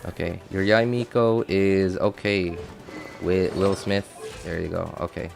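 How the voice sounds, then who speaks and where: A man giving casual, chatty live gameplay commentary as a streamer, thinking out loud and reacting as he goes. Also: low-pitched, fairly even intonation, a little slow, creaky, soft background music. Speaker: game streamer.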